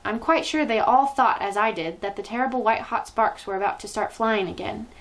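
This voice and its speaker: A woman reading a children's book aloud as expressive storytime narration. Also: mid-range voice, fairly quick. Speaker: storytime reader.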